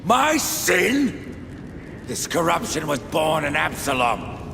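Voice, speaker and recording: Cold, gravelly horseman, terse and defiant. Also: fairly high pitch, very animated, slow pace, exaggerated voice. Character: grim stern male horseman, cold and defiant. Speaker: game character. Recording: game cutscene with loud music.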